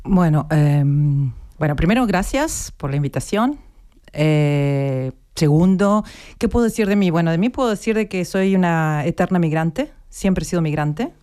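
A woman's voice: low voice, expressive, measured pace, mellow. A woman in her fifties with an Argentine accent, a guest on a radio interview, talking reflectively and searching for her words. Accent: Argentine Spanish.

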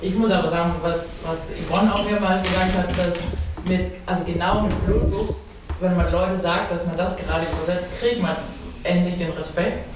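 A man who is a literary translator, speaking non-native German in a lively way during a panel discussion and laughing as he talks. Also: sits high, moderate pace, noisy background. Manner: lively, laughs while talking. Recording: very echoey room from far off.

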